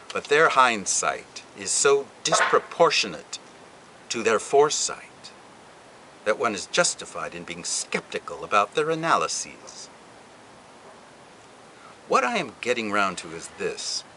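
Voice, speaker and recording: A man reads a literary passage aloud in a lowish but bright voice. His delivery is deliberate and expressive, rising and falling widely with dramatic pauses, over a faint hiss behind him. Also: rough recording. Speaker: reader. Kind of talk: literary reading.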